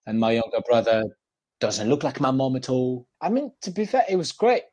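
A man's voice: medium pitch, sing-song, normal speed, mellow, husky. A young French man, a guest actor in a podcast interview, talking in a loose, reflective way with many fillers. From France, Paris.

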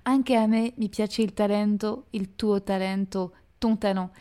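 A woman hosting a podcast, firing off teasing either-or questions and reading a brisk, upbeat sign-off. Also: medium pitch, steady tone, warm tone. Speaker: podcast host. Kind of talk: quickfire questions, then scripted outro.

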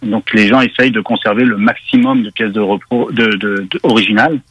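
A man's voice: fairly low pitch, a little fast, slightly rough, no room sound, dull audio. A man with a Parisian French accent, a car magazine editor explaining at length as a radio guest, with many hesitations.

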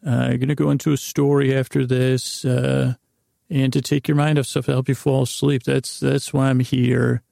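A man hosting a bedtime podcast in a creaky, soft-edged drawl, rambling along in a halting, silly, meandering way and often interrupting himself. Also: mid-range voice, little pitch movement, dry recording, clean studio sound. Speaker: podcast host.

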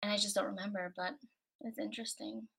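A woman's voice: medium pitch, fairly even intonation, quick pace, gravelly, a bit bright. A young American woman from Florida, a guest in a casual interview, talking loosely with lots of "like" and "you know". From United States. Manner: chatty, many "like" and "you know" fillers. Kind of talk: casual conversation.

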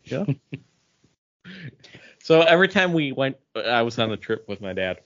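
A man's voice: mid-range voice, very animated, a little slow, a bit bright. Man chatting casually, slightly dull lo-fi sound. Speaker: podcast co-host. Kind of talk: casual pop culture and beer chat. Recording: dull, lo-fi podcast audio.

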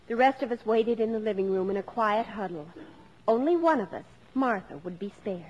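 An actress playing a wry grown daughter narrating family memories in a 1950s American radio drama, deliberate and storylike. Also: female voice, mid-range voice, animated, a little mellow, lo-fi sound, lightly acted.

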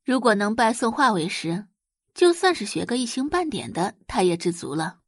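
A woman's voice: on the high side, sing-song, normal speed, no room sound, clean studio sound. A woman reading scripted, dramatic story narration for an audio drama.

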